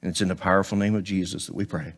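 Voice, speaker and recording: A man's voice: deep voice, steady tone, rapid-fire. Scratchy-voiced man preaching from a pulpit. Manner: conversational, joking asides between scripture. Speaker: guest preacher. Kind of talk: sermon. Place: church stage at a pulpit. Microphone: headset mic.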